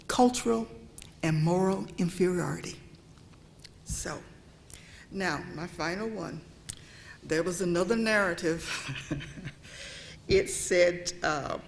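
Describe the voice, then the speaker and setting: A woman's voice: medium pitch, slight background noise. A woman speaking as a guest at a church event. She talks conversationally, checks in with the audience and drops to a near whisper to stress a point. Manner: conversational, asks the audience "okay?". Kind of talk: public talk on history. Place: church meeting room.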